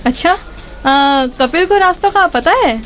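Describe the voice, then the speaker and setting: A woman's voice: extremely high pitch, animated, a little slow, fairly bright tone, slight background noise, full character voice. A woman playing a chatty, gushing office worker in a radio drama, a little hesitant as she greets friends. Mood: friendly, awkward. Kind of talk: radio drama dialogue in Hindi mixed with English.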